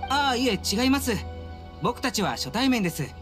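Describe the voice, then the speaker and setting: A man's voice: very high voice, sing-song, moderate pace, fairly bright tone, full character voice. A man voicing an earnest, polite young servant of a noble house in a Japanese anime game, with formal, courteous speech. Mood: earnest, speculating. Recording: studio voice over game music. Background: game music.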